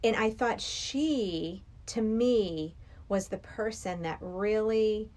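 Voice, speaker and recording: A woman's voice: medium pitch, takes it slowly, darkish tone. A middle-aged woman from Massachusetts, a podcast guest chatting casually and enthusiastically about movies. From Massachusetts, United States.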